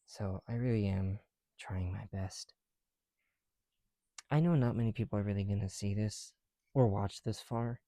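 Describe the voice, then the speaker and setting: A man's voice: lowish voice, a little slow, pauses often, dark tone, no echo. A man making a casual ASMR video, chatting in a self-deprecating way with small asides as they go. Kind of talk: ASMR, casual chat while building bricks. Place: on the bedroom floor at night.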